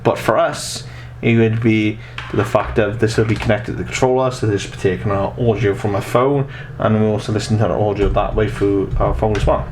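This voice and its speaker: A man's voice: fairly low pitch, fairly even intonation, fairly quick, few pauses, a little mellow. A man in his twenties giving a casual step-by-step tech tutorial, rambling and often repeating his phrases. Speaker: host of a tech tutorial channel. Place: small room at home, indoors. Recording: noticeable background noise.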